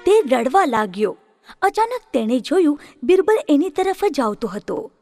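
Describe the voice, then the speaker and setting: A woman narrating a children's story in an expressive way. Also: high voice, normal speed.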